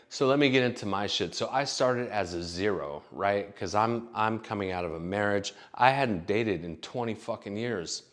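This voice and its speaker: A man's voice: on the low side, a bit bright. A man in his late thirties telling stories in a rambling, profane, casual monologue full of fillers. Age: late 30s. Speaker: vlogger.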